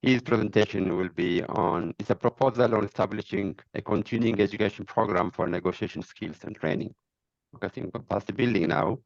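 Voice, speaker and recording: A man's voice: fairly low pitch, a little fast, gravelly, no echo, muffled recording. A man moderating a webinar over a video call, polite and formal, with fillers.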